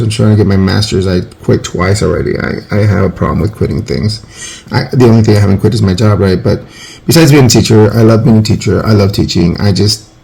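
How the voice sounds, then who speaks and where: A man's voice: on the low side, creaky, mellow, slight background noise, low-quality recording. A man in his forties, a teacher, giving an informal, rambling phonics lesson and often correcting himself. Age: 40s.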